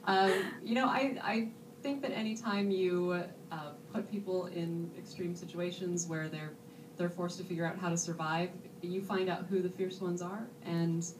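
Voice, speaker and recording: A woman author answering a fan question on a panel at a bookstore event, thoughtful and measured. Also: fairly low pitch, fairly even intonation, echoey room, far from the mic, noisy background. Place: bookstore event with a live audience.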